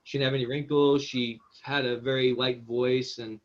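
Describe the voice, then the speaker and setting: A man's voice: mid-range voice, little pitch movement, normal speed, muffled recording. A man with an encouraging, rambling manner, giving casual feedback as the writer at a table read.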